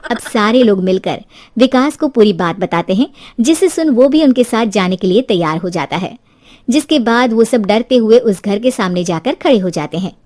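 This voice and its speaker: A woman's voice: a little high, lively intonation, normal speed, a little mellow. A woman narrating a scripted horror story as a storyteller.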